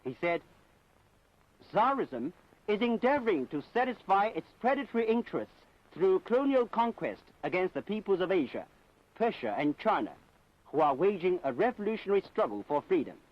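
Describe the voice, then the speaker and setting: High-pitched, declamatory male propaganda film narrator. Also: big pitch swings, moderate pace. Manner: solemn, declamatory, emphatic. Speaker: documentary narrator. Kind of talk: scripted propaganda documentary narration. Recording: dull 1960s film soundtrack.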